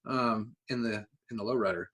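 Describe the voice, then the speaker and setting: A man co-hosting a podcast, talking in an enthusiastic, informal way as he pitches his ideas. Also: normal speed, a bit bright. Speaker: podcast co-host. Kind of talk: casual podcast chat.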